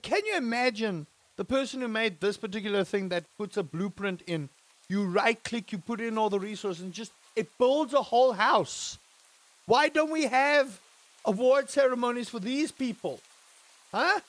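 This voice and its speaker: A man from England live-streaming a game, chatting casually with his viewers and breaking into excited exclamations. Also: sits high. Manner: animated, rising to excited exclamations; chatty, addresses chat directly. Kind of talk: live-streamed gameplay chat.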